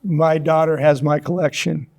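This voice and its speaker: An American man in his sixties speaking as an interview guest, reflecting thoughtfully in halting, considered phrases. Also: on the high side, steady tone, gravelly, darkish tone. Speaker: interview guest, author and storyteller.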